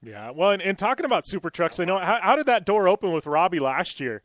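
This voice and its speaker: A man hosting a casual radio interview, his voice on the higher side. He asks long, rambling questions full of fillers. The studio sound is dry and close. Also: very animated, fast talker, fairly bright tone, dry recording. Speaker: radio show host.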